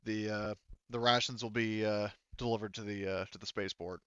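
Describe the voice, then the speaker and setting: A man's voice: lowish voice, fairly even intonation, a little fast, a bit bright, smooth, dry recording, lo-fi sound, close to an everyday voice. A man voicing a composed, businesslike woman in charge of a colony office in a tabletop game, speaking formally and with hesitation.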